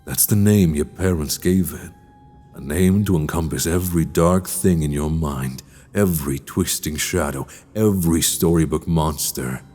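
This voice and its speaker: A man playing a sinister horror-story host in an audio drama, narrating in a slow, deliberate, theatrical and menacing way. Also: rumbling low, mellow. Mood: ominous. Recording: long reverb, music underneath.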